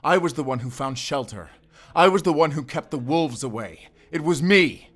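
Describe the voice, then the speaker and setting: An actor playing a weary, guarded werewolf hunter in an audio drama, terse, stern and defiant. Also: male voice, on the high side, expressive, moderate pace, fairly bright tone. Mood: fierce, defiant. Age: 30s.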